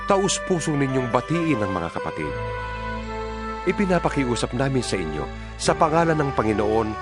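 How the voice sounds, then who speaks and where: A man playing an earnest apostle in a dramatized scripture recording. His delivery is solemn and deliberate, with widely varied intonation at a mid pitch, over clearly audible music. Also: very animated, lightly acted. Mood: earnest, exhorting. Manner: solemn, exhorting, deliberate phrasing. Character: apostle writing earnest, exhorting letters to fellow believers. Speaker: narrator of a dramatized Bible.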